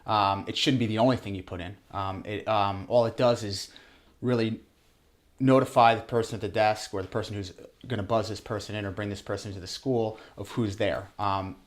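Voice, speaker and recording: A man with a slightly low voice explains as an interview guest. He talks like a trainer, in a halting way, often repeating words as he builds his point. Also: fairly even intonation.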